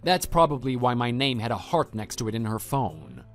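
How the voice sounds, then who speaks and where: A man voicing a stern, principled former schoolteacher in a scripted audio drama, speaking in a measured, matter-of-fact way. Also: medium pitch, big pitch swings, a bit bright. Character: stern, principled male former homeroom teacher, calm and reproving. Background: background music.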